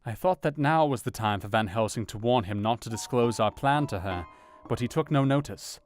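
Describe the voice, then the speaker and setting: An actor playing a serious, observant gentleman, narrating events in a measured, formal way for a radio play. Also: medium pitch, animated, dry recording, faint music behind, close to an everyday voice. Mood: grave, watchful.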